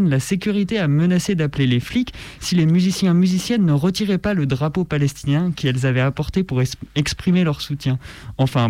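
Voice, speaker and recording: A man's voice: on the high side, a little mellow, dry recording. A man with a French accent giving informal, wry opinion commentary as a radio presenter. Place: radio studio.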